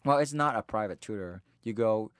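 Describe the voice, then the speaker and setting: A young man in his twenties with a Vietnamese accent speaks in a lowish voice, earnest and a bit halting as he tells his own story. The sound is close and dry, as if in a small quiet booth. He often stops and restarts mid-sentence. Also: animated, smooth, dry recording. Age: 20s. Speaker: interviewee. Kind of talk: personal storytelling conversation.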